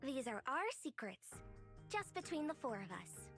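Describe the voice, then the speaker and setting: High-pitched anime game schoolgirl. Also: big pitch swings, normal speed, a bit bright, faint music behind, full character voice. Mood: playful, secretive.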